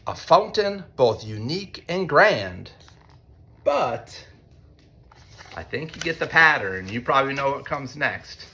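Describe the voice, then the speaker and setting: An American man, a teacher, reads a picture book aloud to children in an expressive way, with conversational asides. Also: medium pitch. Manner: expressive, deliberate when reading, conversational in asides. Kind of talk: read-aloud storytelling with chatty asides to children.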